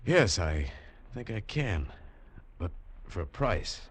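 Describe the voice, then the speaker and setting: A man's voice: deep voice, sing-song, slow, husky, exaggerated voice. An actor playing an earnest, hard-boiled adventurer who narrates his own tale in a 1940s radio drama. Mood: determined. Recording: vintage 1940s radio recording.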